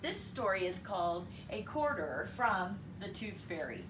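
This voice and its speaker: A woman reading a story aloud to children in an expressive, deliberate, teacherly way. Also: mid-range voice. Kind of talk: expressive read-aloud storytelling with counting lesson. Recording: roomy, distant mic with clear background noise.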